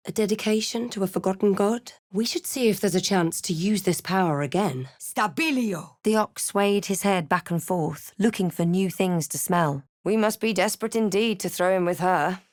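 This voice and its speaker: A woman voicing a snarky, proud female adventurer and spellcaster in a fantasy video game, with crisp, theatrical delivery. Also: mid-range voice, moderate pace, dry recording, studio quality, close to an everyday voice. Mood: wary, curious, then commanding incantation, then dry, scornful. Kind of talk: scripted game character lines and narration.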